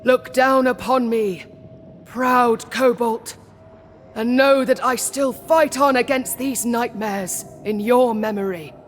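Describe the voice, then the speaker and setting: An actress playing a proud, righteous holy warrior in a fantasy game, solemn, commanding and declamatory. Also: female voice, fairly high pitch, takes it slowly, long reverb tail, a little hiss or hum. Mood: solemn resolve. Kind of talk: dramatic fantasy game acting.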